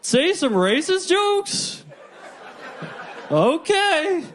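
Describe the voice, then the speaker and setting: Brash high swoopy italian joke character, corny, noisy crowd in a live club. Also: male voice, very animated, drawn-out words, fairly bright tone, exaggerated voice. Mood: brash, hammy. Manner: corny catchphrase-driven delivery. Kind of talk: stand-up character comedy.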